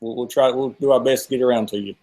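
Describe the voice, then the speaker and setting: Male host, 40s, Southern US accent. Loose, repetitive, chatty delivery at an average pitch. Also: fairly even intonation, racing, mellow. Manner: rambling, repeats himself. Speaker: host of a fishing live stream. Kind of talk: casual live-stream chat.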